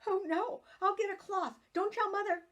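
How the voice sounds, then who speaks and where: A woman voicing a docile, obedient young girl in a spoken family story, raised in pitch and loud. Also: steady tone, moderate pace. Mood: panicked. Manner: pleading, then frantic cries.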